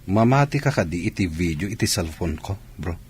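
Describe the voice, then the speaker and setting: A man's voice: low-pitched, expressive, fairly quick, slightly rough, full character voice. A man playing a troubled, wronged man in a radio drama, speaking solemnly and earnestly.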